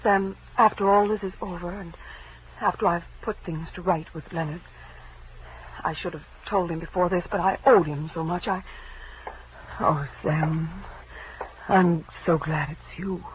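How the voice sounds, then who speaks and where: An actress playing a pleading, evasive wife and femme fatale in a 1940s radio detective drama, with a breathy, halting, emotional delivery. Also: female voice, lowish voice, fairly even intonation, a little hiss or hum, lightly acted. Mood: soft, intimate. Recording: muffled, band-limited old radio recording.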